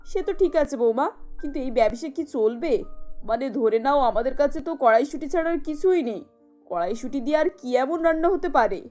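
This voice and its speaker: A woman's voice: sits high, animated, a little fast, darkish tone, highs cut off, faint music behind. A woman playing a kindly older village mother-in-law in a cartoon, homely and motherly in manner. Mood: doubtful. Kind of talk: cartoon character acting.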